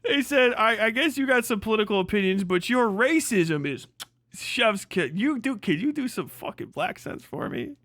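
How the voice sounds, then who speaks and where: A man's voice: sits high, expressive, moderate pace, a bit bright, no room sound, studio quality. A man live streaming in casual reaction chat, talking in an exclamatory, profane way.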